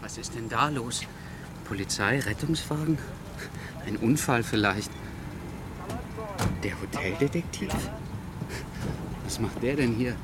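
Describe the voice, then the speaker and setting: Male actor as baffled, easily indignant everyman in absurd radio satire, clear noise behind him. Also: medium pitch, measured pace, pauses often, lightly acted. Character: exasperated, bewildered man caught in absurd events. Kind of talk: satirical radio play acting.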